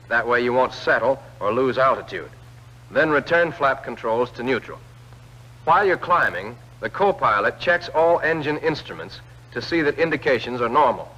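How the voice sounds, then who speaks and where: A man reads scripted instructional narration for a 1940s military training film, clearly addressing the trainee directly. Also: mid-range voice, bright, forceful tone. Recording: old film soundtrack, dull lo-fi band.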